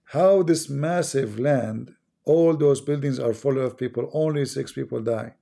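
A man's voice: mid-range voice, a little slow, vocal fry, mellow. A man with a Middle Eastern accent hosting a casual live stream, talking bluntly and mocking what he reads. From Middle East.

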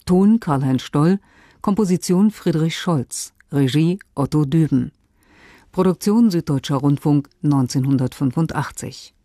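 A formal radio announcer with a mellow, soft-edged voice at a middle pitch. The reading is scripted and orderly, recorded very dry. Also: a little slow, dry recording.

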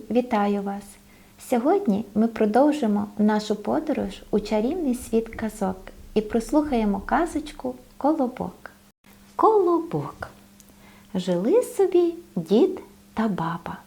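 A woman's voice: on the high side, mellow. A woman storyteller narrating a fairy tale expressively for small children.

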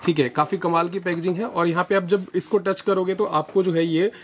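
A man's voice: high-pitched, fairly even intonation, a little fast, slight background noise. Young man giving a warehouse sales tour. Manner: explanatory sales talk with many fillers. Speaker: warehouse business owner. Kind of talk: product walkthrough. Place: large indoor warehouse with shelves. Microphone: lapel mic.

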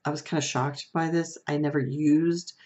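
A middle-aged woman with a soft, comforting voice, vlogging to camera from a room at home, reflective and hesitant, with fillers and restarts. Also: sits low, steady tone, moderate pace. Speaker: beauty vlogger. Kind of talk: casual talk to camera. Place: small room at home, indoors.

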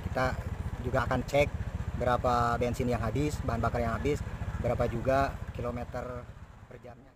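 A man's voice: mid-range voice, brisk, noisy background. A man, chatting casually as a motovlogger while riding a scooter outdoors, recorded on a helmet camera. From Cianjur, West Java, Indonesia. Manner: relaxed, chatty, excited on steep climbs. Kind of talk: casual motorcycle vlog narration. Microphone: helmet-mounted action camera. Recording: loud music bed under the voice.

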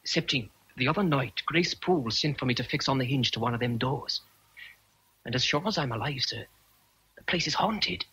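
Husky, superstitious Irish manservant. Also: male voice, medium pitch, moderate pace, pushed and bright, low-quality recording. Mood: fearful, earnest. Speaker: actor. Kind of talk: radio drama acting.

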